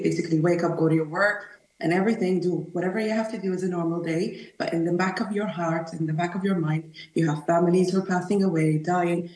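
A woman's voice: lowish voice, a little mellow. Emphatic young woman in an echoey room. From Sudan. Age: 20s. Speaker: interview guest. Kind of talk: earnest personal account in an interview.

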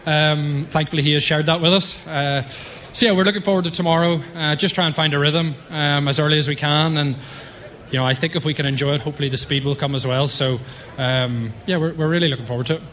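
Seorang pria pembalap reli, suara cerah dan tegang, bicara datar, tulus dan ragu-ragu. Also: male voice, on the high side, steady tone, quick pace, a bit bright. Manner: earnest, hesitant with fillers. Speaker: rally driver. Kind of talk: live event interview. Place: stage at a rally event.

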